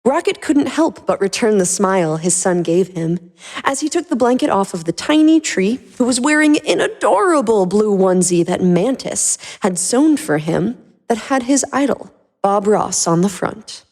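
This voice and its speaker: A woman hosting and narrating a fan fiction podcast with animated, scripted storytelling. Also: mid-range voice, moderate pace.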